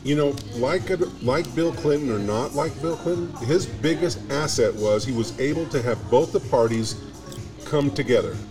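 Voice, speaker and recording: A man's voice: mid-range voice, moderate pace, over music. A man hosting a casual radio talk show, blunt and emphatic, often repeating himself.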